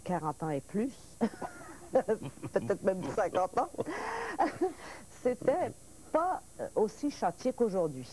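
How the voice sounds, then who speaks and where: A woman in her sixties born in Paris, a lively talk-show guest whose French mixes Parisian and Quebec speech, telling stories with restarts. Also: medium pitch, takes it slowly, pauses often, slight background noise, low-quality recording. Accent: mixed Parisian and Quebec French. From France. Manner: lively storyteller, restarts and laughs, points her words. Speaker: actress guest. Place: TV studio set, seated at table.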